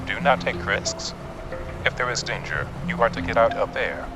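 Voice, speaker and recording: A man's voice: mid-range voice, expressive, moderate pace, over loud music, natural voice. A man playing a brusque, foul-mouthed, hot-tempered police chief giving orders in an audio drama. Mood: stern, wary. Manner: brusque, profane, barking orders.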